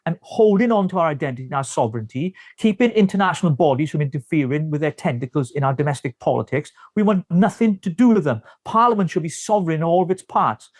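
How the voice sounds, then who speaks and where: A man's voice: fairly high pitch, fast, few pauses, no echo, studio quality. A man with a Welsh accent, speaking emphatically as a party representative in a political interview. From Wales.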